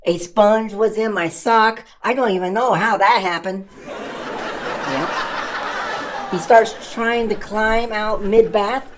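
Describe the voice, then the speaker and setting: A woman voicing a cheeky young male puppet comedian telling exasperated, self-amused stand-up stories with deadpan punchlines and dramatic exaggeration. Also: mid-range voice, takes it slowly, long pauses, noisy background, lightly acted.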